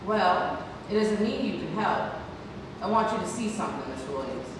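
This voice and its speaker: Woman playing tactful nurse, stage play reading, polite, gently firm, medium pitch, very echoey noisy hall. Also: animated, normal speed, close to an everyday voice. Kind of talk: play table read. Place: large indoor theatre room, far microphone. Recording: distant, echoey hall, noisy room recording.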